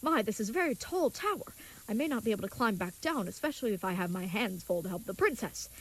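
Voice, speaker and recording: A woman's voice: mid-range voice, animated, fast talker, rough recording. A woman playing an earnest, naive, chivalrous young knight in an audio comedy, speaking with stilted, wordy politeness. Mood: earnest, musing. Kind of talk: scripted audio comedy acting.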